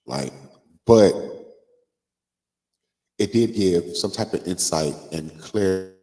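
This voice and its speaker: Casting: deep-voiced man, stuffy with a cold, loose live-stream chatter, long reverb behind him. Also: warm tone. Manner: chatty, drawn-out fillers like "like" and "um". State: congested from a cold. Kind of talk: casual live stream chat.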